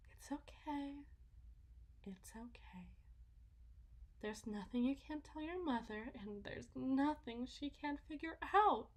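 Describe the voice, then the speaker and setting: A woman playing a delusional, condescending, possessive wealthy mother in a theatrical ASMR roleplay, phrasing everything in a drawn-out, deliberate way. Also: high-pitched, fairly bright tone. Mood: soothing, menacing. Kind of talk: ASMR roleplay, dramatic monologue.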